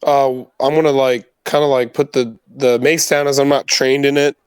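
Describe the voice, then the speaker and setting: A man's voice: mid-range voice, little pitch movement, normal speed, darkish tone, clear, resonant tone, dry recording, clean studio sound. A man chatting casually as a player in a tabletop game, hesitantly describing what his character does.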